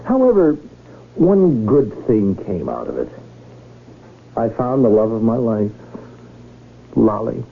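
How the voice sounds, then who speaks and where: Courtly, animated rich gentleman, tinny radio. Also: fairly low pitch, gravelly, full character voice. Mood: explaining, smug. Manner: formal, florid, deliberate speech. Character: lonely rich gentleman, shrewd, courtly and happily in love. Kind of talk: 1970s radio drama acting. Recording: tinny, noisy old radio broadcast.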